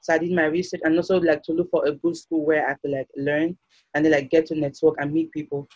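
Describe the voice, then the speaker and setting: A woman's voice: low voice, flat delivery, fast, a little mellow. Young Nigerian woman, slightly muffled audio. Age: young adult. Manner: hesitant, filler-heavy with frequent "like". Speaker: international student, guest. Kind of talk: casual podcast interview.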